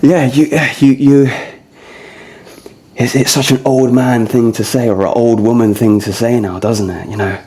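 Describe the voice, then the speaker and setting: A man's voice: mid-range voice, steady tone, measured pace, dark tone. A man in his early thirties talking casually and discursively to listeners as a fitness vlogger, addressing them directly. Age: early 30s. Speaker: fitness vlogger leading a core routine. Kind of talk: casual rambling talk to camera.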